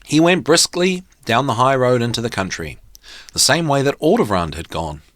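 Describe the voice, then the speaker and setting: A man narrates a fairy tale in a measured, theatrical style. His medium-pitched voice rises and falls expressively, and the recording is dry and close. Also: very animated, a bit bright, dry recording. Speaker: storyteller and host.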